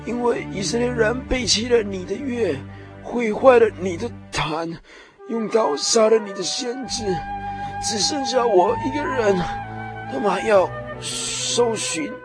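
A man's voice: sits high, measured pace, over loud music. A man playing a weary, despairing but fervent ancient prophet in a radio drama, impassioned and full of sighs. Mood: fervent, anguished. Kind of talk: Mandarin radio drama acting.

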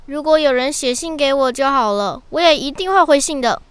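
A woman's voice: high-pitched, brisk, no room sound, lightly acted. A woman voicing a shy, earnest little puppy boy who delivers mail in a children's audio drama, childlike, eager and sincere. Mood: wistful, hopeful.

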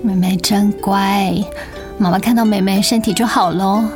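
A woman's voice: mid-range voice, fairly even intonation, normal speed, lightly acted. Weary young mother played by a woman, music behind. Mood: weak, apologetic. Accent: Taiwanese Mandarin. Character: weary, self-doubting sick young mother, stubbornly independent. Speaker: voice actor in a radio drama. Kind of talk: dramatic radio play acting.

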